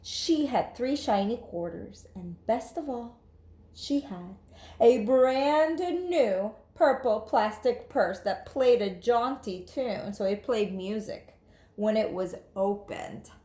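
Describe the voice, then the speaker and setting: A woman teacher reading a storybook aloud to children, expressive and emphatic, speaking to her listeners directly. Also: fairly high pitch, slow.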